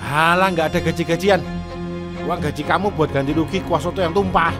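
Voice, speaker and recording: A man's voice: on the high side, brisk, over music, natural voice. A man voicing an arrogant, stingy middle-aged husband who owns a food stall and bullies his workers. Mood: furious. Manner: blustering, indignant, shouting. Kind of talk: cartoon drama voice acting.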